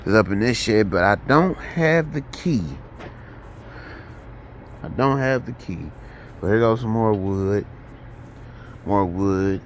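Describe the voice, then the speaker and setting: A man giving a relaxed, rambling tour of his carport woodshop, filmed on a handheld phone as he walks. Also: lowish voice, slow, pauses often, a little hiss or hum. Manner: relaxed, rambling, informal Southern grammar. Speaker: woodworker giving a shop tour. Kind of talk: casual walkthrough vlog. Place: carport workshop, partly outdoors. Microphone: handheld phone filming as he walks.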